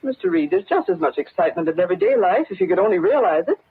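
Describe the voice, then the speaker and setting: An actress playing a frank, concerned newspaper secretary in a 1940s radio drama, earnest and proper. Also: female voice, lowish voice, very animated, racing, husky, exaggerated voice. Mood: frank, persuading. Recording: muffled old radio broadcast, narrow band.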